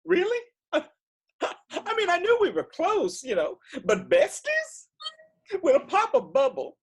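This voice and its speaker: A woman's voice: very high voice, wildly swooping pitch, takes it slowly, exaggerated voice. A woman playing a giddy, gushing, clingy flamingo in a comedy table read.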